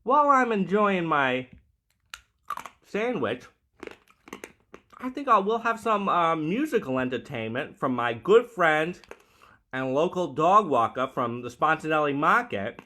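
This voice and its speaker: A man plays a quirky, chatty host who makes odd sandwiches and interviews his guest. His voice is very bright and a little high, and it swoops up and down. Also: very animated, measured pace, long pauses, full character voice. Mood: excited. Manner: chatty, playful, hosting a mock show. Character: quirky male sandwich maker called Shortcake. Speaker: comedic host.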